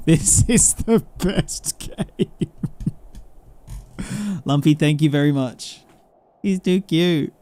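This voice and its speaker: A man doing excited live game commentary as a streamer, exclamatory, repeating himself and swearing casually. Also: fairly high pitch, extremely slow, long pauses. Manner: exclamatory, repeats words and phrases.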